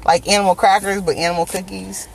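A woman, co-hosting a casual podcast chat while high, loud and jokey with lots of swearing and laughter. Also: flat delivery, fast, vocal fry, crowd noise, rough recording. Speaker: podcast co-host.

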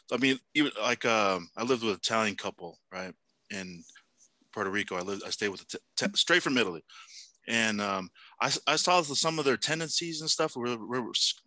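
Bright, forceful low-pitched man. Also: no echo. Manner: rambling, conversational questions. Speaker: podcast co-host. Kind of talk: casual interview chat.

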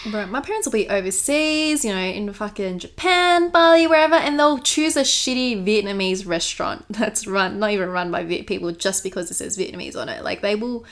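A woman's voice: medium pitch, lively intonation, fairly quick, hardly any pauses, a bit bright. A young woman co-hosting a podcast, telling stories with energy, swearing casually and laughing often. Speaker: podcast co-host. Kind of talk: casual chat.

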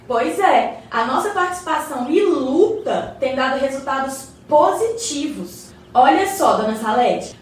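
A Brazilian woman playing a well-informed, encouraging neighbour who patiently explains things in colloquial radio drama dialogue. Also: sits high. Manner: explanatory, colloquial, addresses her neighbour respectfully. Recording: roomy echo, distant mic, faint noise.

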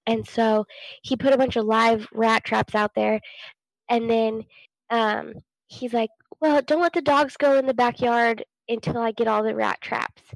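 A woman's voice: fairly high pitch, fairly even intonation, a little slow, gravelly, no room sound, low-quality recording. A young American woman with a cold, telling stories casually as a podcast co-host. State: getting over a cold. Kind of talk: casual storytelling chat.

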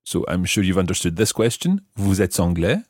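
A man hosting a language lesson, explaining example phrases piece by piece with careful, measured articulation. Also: low-pitched, big pitch swings, a little mellow, husky, no room sound, clean studio sound. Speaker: language teacher and host.

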